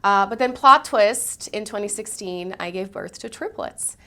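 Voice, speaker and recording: A woman's voice: mid-range voice, lively intonation, unhurried, sharp tone. A woman from Brazil, a singer and speaking coach, telling her story earnestly as a guest in an interview. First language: Portuguese. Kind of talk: interview conversation.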